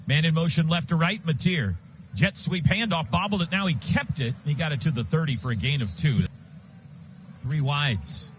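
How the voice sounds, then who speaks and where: American male radio play-by-play voice, highish pitch, clipped rapid football calls over loud stadium noise. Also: animated. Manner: rapid clipped phrases, present-tense narration.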